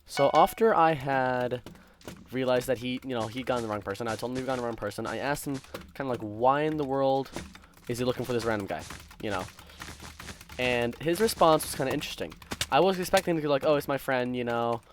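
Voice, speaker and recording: A man's voice: medium pitch, fairly quick. A young man casually telling stories while gaming, rambling and interrupting himself in a distracted way. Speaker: gaming content creator. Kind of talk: casual storytelling while playing a game. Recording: faint keyboard typing and clicking noise. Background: computer keyboard typing and clicks.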